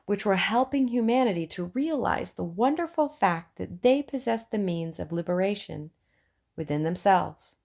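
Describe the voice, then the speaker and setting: Lower-pitched woman reading an audiobook. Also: gravelly, darkish tone. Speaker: audiobook reader. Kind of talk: scripted audiobook narration of a book.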